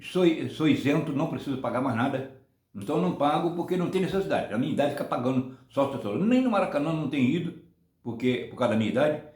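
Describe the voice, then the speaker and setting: A man in his seventies from Brazil, rambling through casual football commentary on his own channel, often ending points with a quick "tá?". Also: fairly high pitch, lively intonation, a little mellow, roomy sound. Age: 70s. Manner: rambling, many restarts and tag "tá?".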